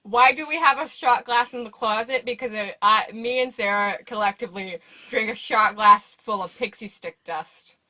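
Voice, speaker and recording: Bright-voiced giggly young woman with a speech impediment. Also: fairly high pitch, normal speed, low-quality recording. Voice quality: speech impediment, sounds like baby talk. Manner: rambling, giggly, lots of fillers. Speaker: movie review co-host. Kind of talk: casual chat.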